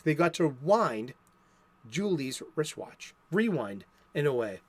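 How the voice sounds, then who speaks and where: Brief: male host with a Boston accent, a touch high, rambling and chatty, dry close sound. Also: no echo. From Boston area, USA. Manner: rambling, many restarts and fillers. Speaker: comic book show host. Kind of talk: casual solo talk. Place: home studio.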